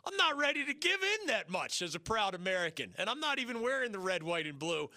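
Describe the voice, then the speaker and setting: Man, high bright voice, sports talk host, emphatic, dry studio. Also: big pitch swings, no echo. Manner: fast, emphatic, opinionated, rising to rhetorical peaks. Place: radio studio. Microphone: studio mic.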